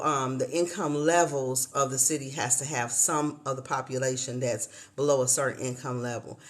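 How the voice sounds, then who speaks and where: A woman in her fifties from Chicago, hosting a casual livestream chat from a hotel room and addressing viewers as y'all. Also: rumbling low. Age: 50s. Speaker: livestream host.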